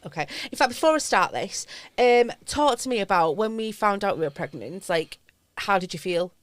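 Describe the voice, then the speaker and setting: A woman in her thirties co-hosts a podcast, teasing her partner with questions in an easy, mid-pitched voice. The recording is close and dry. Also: expressive, normal speed, no room sound. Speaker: podcast co-host. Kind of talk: casual couple chat.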